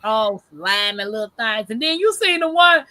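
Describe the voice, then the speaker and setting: Loud lady hosting a chat stream, high bright voice, laughs a lot. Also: moderate pace, no echo. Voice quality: says she has a deep voice. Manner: loud, animated storyteller who laughs often. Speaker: livestream host. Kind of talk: casual chat.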